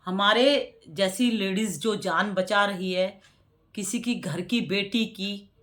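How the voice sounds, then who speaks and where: A woman in her forties talks straight to her subscribers in a loose, chatty way. She leans into repeated words to drive her point home and slips into a preachy tone. Her voice sits at a medium pitch. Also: takes it slowly, a bit bright. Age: 40s. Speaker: vlogger. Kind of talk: casual commentary to subscribers.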